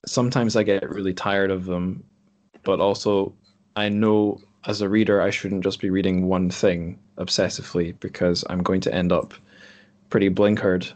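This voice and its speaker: A man with a low-pitched voice hosts a podcast conversation. He talks casually and reflectively, wandering through his thoughts as he goes. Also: level tone, normal speed. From Scotland. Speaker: podcast host. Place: group online call.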